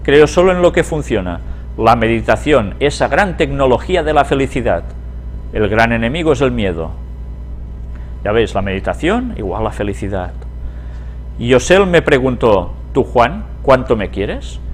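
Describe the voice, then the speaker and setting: A man's voice: mid-range voice, very animated, normal speed, slight background noise. A man with an accent from Spain giving a religious lecture as a teacher, reading aloud and commenting conversationally, often putting questions to his listeners. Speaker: Bible prophecy teacher.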